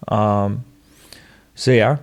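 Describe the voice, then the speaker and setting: A man's voice: on the low side, steady tone, drawn-out words. A young man co-hosting a casual podcast, giving informal, encouraging advice. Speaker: podcast co-host.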